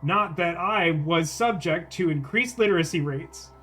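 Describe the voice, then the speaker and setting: A man doing live game commentary, halting and rephrasing, with a wryly exasperated manner. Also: on the high side, fairly bright tone, soft background music. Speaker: game streamer. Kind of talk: live game commentary mixed with reading dialogue aloud.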